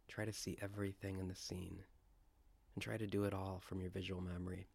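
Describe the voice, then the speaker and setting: A man leading a guided scripture meditation, speaking in a deliberate, reverent way with pauses. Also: low voice, no echo. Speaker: guided prayer leader.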